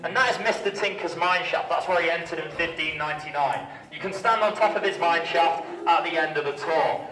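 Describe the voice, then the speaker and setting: A man's voice: a little high, fairly quick, hardly any pauses. Man, cave tour guide, jokey group talk, informal wry asides, distant from the mic, crowd around him in a roomy cavern. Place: inside an underground cavern. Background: crowd of tour visitors.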